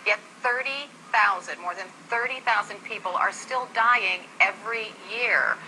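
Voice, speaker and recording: Bright, forceful American female news host. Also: medium pitch, a little slow, a little hiss or hum, poor audio. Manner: insistent, challenging questioner. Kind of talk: live news interview.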